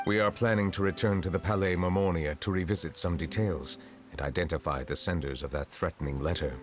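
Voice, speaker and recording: Brief: man voicing a reserved game judge, deep low voice, measured and formal. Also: steady tone, faint music behind, close to an everyday voice. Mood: calm. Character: composed male chief justice, formal and reserved. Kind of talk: video game voice acting.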